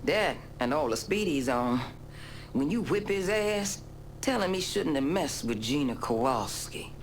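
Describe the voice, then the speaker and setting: An actress playing a brash, salty American street informant in a video game, with a gravelly voice and a folksy, sardonic way of talking. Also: female voice, sits low, animated, unhurried, slight background noise. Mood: sardonic, spiteful. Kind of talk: video game cutscene acting.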